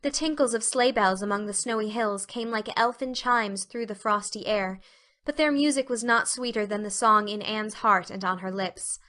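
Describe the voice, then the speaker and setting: A woman's voice: mid-range voice, moderate pace, sharp tone. A woman reading scripted literary narration as the narrator of an audiobook.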